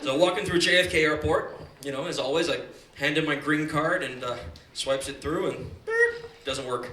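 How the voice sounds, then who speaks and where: A young man doing a stand-up set at a comedy bar, chatty and swearing, with quick asides. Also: a little high, big pitch swings, sharp tone, slight background noise. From Iceland, raised in the United States. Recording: echoey club from far off, audience.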